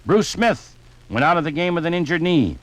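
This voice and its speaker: A man playing a jovial sportsman in a 1950s radio drama, reminiscing in a conversational, animated way. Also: fairly high pitch, normal speed, dry recording. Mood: enthusiastic. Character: jovial sportsman reminiscing about football on a fishing trip.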